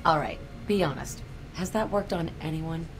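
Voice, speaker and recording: Low-voiced, sardonic, confident female photojournalist. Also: normal speed. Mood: dry, confident. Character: cool, self-assured female photojournalist, dryly flirtatious. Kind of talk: video game cutscene dialogue. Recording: music behind the voice.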